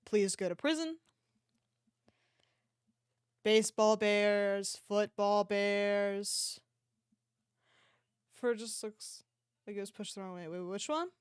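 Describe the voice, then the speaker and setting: A woman's voice: medium pitch, slow pace, long pauses, clean tone, no echo, studio quality. A woman livestreamer chatting casually and reacting to an online shop with playful, mock-dramatic exclamations.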